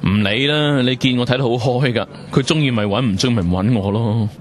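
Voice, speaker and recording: A man's voice: mid-range voice, quick pace, natural voice. A man with a Hong Kong Cantonese accent playing a defensive, sweary young office worker in a colloquial radio drama. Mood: sullen. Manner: curt, sweary. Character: defensive, foul-mouthed young office worker nursing hurt pride.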